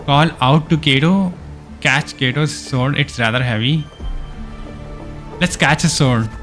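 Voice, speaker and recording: A male game streamer with a mid-pitched voice talks casually and informally. His speech is slow and halting, and faint game music plays behind him. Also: fairly bright tone. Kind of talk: casual live gameplay commentary.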